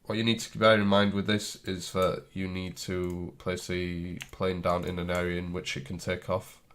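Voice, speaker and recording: A man's voice: sits low, level tone, normal speed, a bit bright. A man with a cold, sniffling, casually talking through a game tutorial as he clicks and types. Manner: thinks aloud while clicking and typing, loose and chatty. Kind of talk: casual screen-recorded tutorial.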